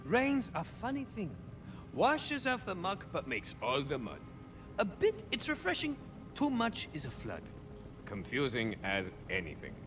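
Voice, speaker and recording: An actor playing a swaggering young cyborg soldier of fortune in a video game, a touched flavor, musing theatrically and landing wry deadpan punchlines. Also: male voice, fairly high pitch, measured pace, long reverb tail, over music. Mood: wondering. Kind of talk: video game character monologue.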